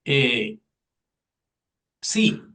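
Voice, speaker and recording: A man's voice: medium pitch, very animated, drawn-out words, bright, forceful tone, far from the mic. A man in his sixties from Lima with a Peruvian accent, an economist telling his life story in an interview with many fillers. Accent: Peruvian Spanish, Lima. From Peru. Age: 60s. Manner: earnest, storytelling, frequent fillers. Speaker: economist, guest. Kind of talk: personal interview.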